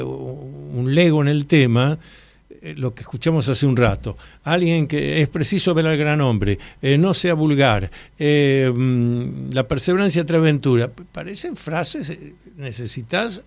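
A man's voice: mid-range voice, creaky, no echo. A middle-aged man with an Argentine accent hosts an interview in a mid-range voice. He explains and emphasizes points conversationally, recorded close and dry. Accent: Argentine Spanish. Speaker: interview host.

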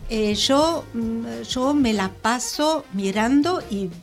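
A woman's voice: on the high side, expressive, slow, faint music behind. A woman in her sixties with a French accent, speaking Spanish as an interview guest, hesitant and full of fillers and restarts. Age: 60s. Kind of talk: casual conversational interview.

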